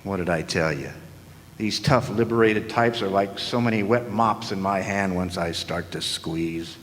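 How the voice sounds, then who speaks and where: An actor playing a wisecracking hard-boiled detective in a noir radio drama, deadpan and full of slang. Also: male voice, low-pitched, measured pace, a little hiss or hum. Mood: tough, sardonic. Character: wisecracking hard-boiled male private detective narrating his case. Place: large hall, live stage performance. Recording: echoey hall, live stage performance.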